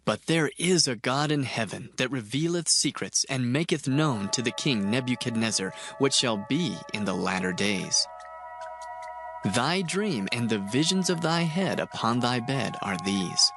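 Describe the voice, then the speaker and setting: An actor playing a devout, solemn prophet in a dramatized scripture reading, grave and oratorical, with music underneath. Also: male voice, mid-range voice, animated. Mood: solemn, humble. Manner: grave, oratorical, measured phrasing.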